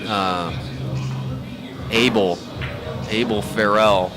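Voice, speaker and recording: A man's voice: lowish voice, steady tone, extremely slow, music in the background. An American man from Ohio giving casual live sports commentary in a chatty, folksy, slangy way. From Ohio, USA. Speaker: pool match commentator.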